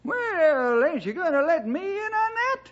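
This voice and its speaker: A feisty old-timer in a vintage radio play. His voice is very high and strained, with lively swoops and rustic, excitable delivery. The recording sounds dull and narrow. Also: measured pace, heavily acted. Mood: curious, excited. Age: older. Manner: excitable, folksy, rustic grammar. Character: Stumpy, feisty, folksy, curious old-timer ranger sidekick. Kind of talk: old radio drama character acting.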